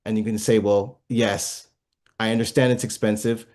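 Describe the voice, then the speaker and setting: A man's voice: lowish voice, flat delivery, moderate pace, darkish tone. A man as an interview guest, explaining patiently, with frequent fillers and restarts. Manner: explains patiently, many fillers and restarts, asks listeners if it makes sense. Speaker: guest investment speaker.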